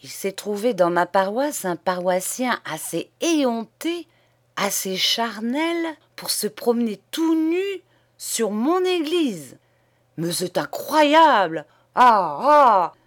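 A woman's voice: fairly high pitch, big pitch swings, takes it slowly, pitched above the performer's own voice. A woman putting on a fiery old parish priest. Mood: outraged. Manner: fiery preaching, sputtering outrage. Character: agitated old male parish priest, fire-and-brimstone.

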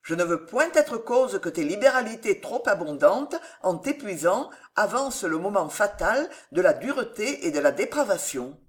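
Storybook role: fervent, eccentric preacher-like man, grave and declamatory, very animated pitch female narrator performing. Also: moderate pace, few pauses, natural voice. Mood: moved, admonishing. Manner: exhorting, declamatory. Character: solemn, impassioned moralizing eccentric man begging for the poor. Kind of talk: audiobook character voice.

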